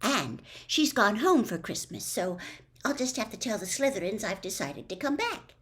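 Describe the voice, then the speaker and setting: A woman voicing a clever, bossy schoolgirl witch from a storybook, brisk and matter-of-fact. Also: medium pitch, sing-song, fairly bright tone. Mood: bright, assured.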